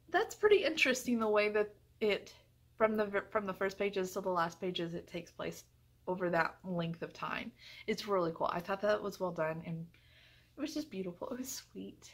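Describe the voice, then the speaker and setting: A woman talks through book reviews in a casual vlog style, with a mid-pitched voice. She is effusive and emphatic, circling back to repeat phrases when she wants to stress a point. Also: fairly bright tone.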